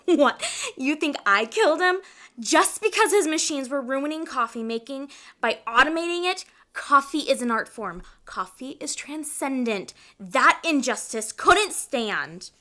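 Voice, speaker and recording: Audio drama barista female actor: indignant coffee snob, bright and forceful, swooping delivery. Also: high voice, lively intonation, measured pace, exaggerated voice. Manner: melodramatic, indignant. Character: haughty, passionate female barista, a coffee purist.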